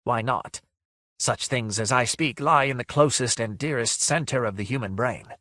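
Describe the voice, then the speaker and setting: A man voicing a sad, menacing phantom of terror in a fantasy story, with a soft, breathless, quavering, sighing voice and archaic, ornate speech. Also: medium pitch, normal speed, no echo, clean studio sound, pitched above the performer's own voice, close to an everyday voice. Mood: insistent, menacing.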